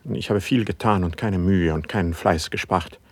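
An actor playing an earnest, brooding poet, humble yet insistent, with solemn formal declamation in a classic German verse radio drama. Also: male voice, low voice, normal speed, husky, no room sound. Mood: humble, pleading.

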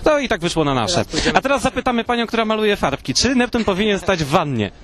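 Male street reporter, rapid pace, fairly high voice, playful questioning outdoors. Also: sing-song, a crowd in the background. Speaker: street interviewer, podcast host. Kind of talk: playful vox-pop interviewing.

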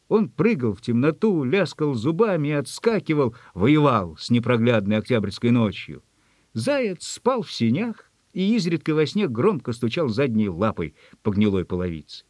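Husky guy narrating a story, lively pitch, close dry sound, some music behind. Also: no room sound. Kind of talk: scripted literary audiobook narration.

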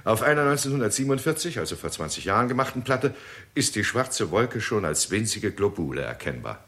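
An actor playing a keen, courteous astronomer in a 1960s German radio drama, explaining things emphatically and politely. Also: male voice, on the low side, very animated, a little fast, fairly bright tone. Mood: confident, explanatory. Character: keen, courteous male astronomer presenting an alarming discovery. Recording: 1960s radio recording with faint noise.

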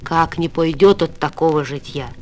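A man's voice: fairly high pitch, normal speed, darkish tone, voice pushed down, natural voice. A man voicing blunt, gossipy village folk in a storybook tale, with a folksy, complaining manner. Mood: matter-of-fact. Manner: folksy, matter-of-fact, complaining. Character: gossipy, blunt village neighbours and grumbling housewife. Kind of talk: storybook fairy tale reading.

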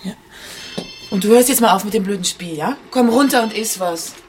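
A woman's voice: medium pitch, brisk, noisy background, natural voice. A woman playing a worried, indignant middle-aged mother in a naturalistic radio drama, hesitant and then insistent. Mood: reproachful.

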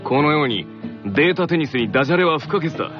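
A man's voice: a little high, expressive, quick pace, highs cut off, lightly acted. A man playing a scornful, imperious teenage boy tennis ace in a radio comedy skit. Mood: condescending. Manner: imperious, condescending, dramatic. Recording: band-limited radio sound over loud music.

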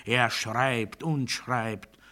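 An actor playing an obedience-obsessed man delivering absurd totalitarian doctrine in a deliberate, declamatory radio play monologue. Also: mid-range voice, fairly even intonation. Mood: quiet, controlled confiding. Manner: deliberate, declamatory, swinging from hushed confiding to strident proclamation.

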